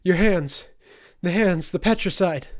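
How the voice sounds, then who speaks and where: A man's voice: medium pitch, steady tone, measured pace, clear, resonant tone, lightly acted. A man voicing an arrogant, sneering captive who has broken into frightened pleading, in an audiobook. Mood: screaming terror. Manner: haughty commands turning to screamed, whimpered begging. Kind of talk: audiobook character acting.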